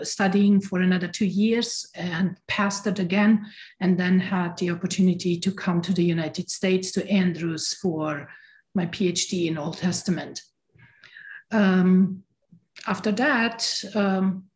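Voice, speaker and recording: A middle-aged woman from Romania, giving a Sabbath school talk with many pauses and restarts. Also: mid-range voice, a little mellow, echoey room. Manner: halting, many ums and restarts. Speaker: guest teacher.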